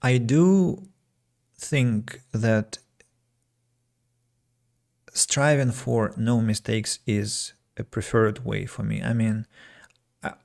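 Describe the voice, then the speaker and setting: A man, his voice gravelly with vocal fry, talking alone on his podcast. He reflects on listeners' comments as an English teacher, with plenty of fillers and false starts. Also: mid-range voice, darkish tone. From Russia. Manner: reflective, reads listener comments aloud, frequent fillers and restarts. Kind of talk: solo podcast talk.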